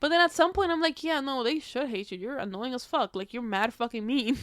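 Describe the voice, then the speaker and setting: Young woman, higher-pitched, close dry sound, casual podcast banter, blunt, sweary, slangy. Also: fairly quick, a bit bright, no echo. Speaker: podcast co-host. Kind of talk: casual movie review chat.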